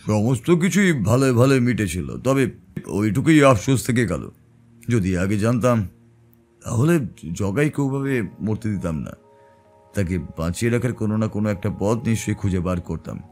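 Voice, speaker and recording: An actor playing a calm, reflective wandering tantric sage who tells uncanny tales in an audio drama. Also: male voice, lowish voice. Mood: regretful. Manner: measured, reflective storytelling. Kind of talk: dramatized Bengali audio story narration. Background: background music.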